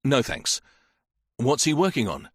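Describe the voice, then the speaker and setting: A polite, patient male inspector, as read by a man questioning witnesses in a measured way. The voice stays near the narrator's own, with lively intonation. It is recorded close and dry. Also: mid-range voice, breathy edge, no echo, pitched above the performer's own voice.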